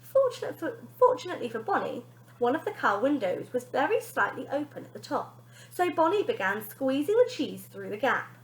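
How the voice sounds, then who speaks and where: A woman reads a children's story aloud like a teacher, with great expression. Her fairly high voice rises and falls widely, and the room adds a clear echo. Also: sing-song, normal speed. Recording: echoey room.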